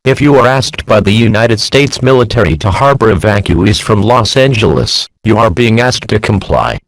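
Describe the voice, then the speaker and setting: A man playing a stern emergency broadcast announcer in an audio drama, reading official warnings in a formal, impersonal, measured manner. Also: on the low side, no room sound, poor audio.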